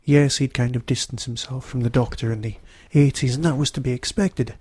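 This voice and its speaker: A man hosting a podcast alone, talking to listeners in a reviewing style, his voice hoarse from a throat infection. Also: mid-range voice, fairly even intonation, fairly quick, darkish tone, no room sound. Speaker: podcast host. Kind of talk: solo review talk to listeners.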